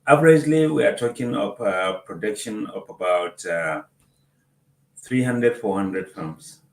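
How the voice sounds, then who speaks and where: A man, a film producer and festival organizer, explaining his points in a measured way with hesitant pauses. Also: lowish voice, lively intonation, vocal fry, echoey room, low-quality recording. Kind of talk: interview answers.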